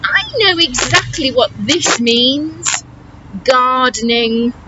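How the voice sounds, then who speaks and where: A woman plays a young boy from a picture book, excitable and quick to grumble. The voice is high and very slow, stretching words out into exclamations. The recording is slightly muffled. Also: very noisy. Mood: grumbling, whiny.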